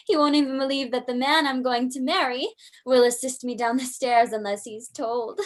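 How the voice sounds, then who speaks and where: High-voiced, spirited young fiancée played by a woman for an old-time radio play. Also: normal speed, natural voice. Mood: eager. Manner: eager, lively, teasing. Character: young bride-to-be daughter, curious, spirited.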